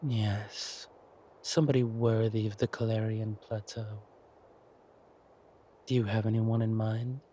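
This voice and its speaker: Man playing a slow-speaking, menacing villain king. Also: lowish voice, level tone, dark tone, a little hiss or hum, natural voice. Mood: smug, affectionate. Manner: slow deliberate taunting, drawn-out pauses. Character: menacing, possessive villain king, silkily mocking. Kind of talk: audio roleplay villain acting.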